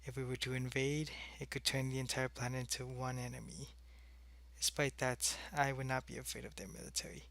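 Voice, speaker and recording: A man playing an alien agent in a sci-fi audio roleplay, explaining things earnestly in a measured, matter-of-fact way. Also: medium pitch, level tone, vocal fry, a bit bright. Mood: earnest, cautious. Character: earnest alien agent briefing his superior on humans.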